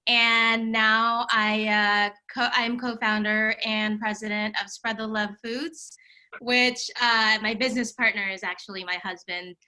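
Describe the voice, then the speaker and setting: A woman from the Philippines, co-founder of a food company, introducing herself on a video call with halting "uh"s. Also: a little high, level tone, pushed and bright, low-quality recording. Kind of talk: self-introduction on a panel.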